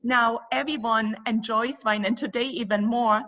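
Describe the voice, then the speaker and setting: A woman's voice: on the high side, fairly even intonation, moderate pace, fairly bright tone. A German-accented woman who owns a cruise company answers interview questions, enthusiastic and fluent, with frequent fillers. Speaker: river cruise company co-owner. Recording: muffled lo-fi audio.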